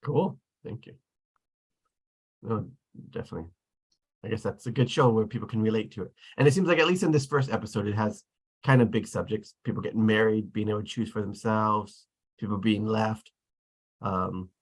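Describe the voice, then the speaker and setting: A man chatting informally in a group discussion, agreeable and somewhat halting as he gathers his thoughts. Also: fairly low pitch, slightly rough. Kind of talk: casual discussion.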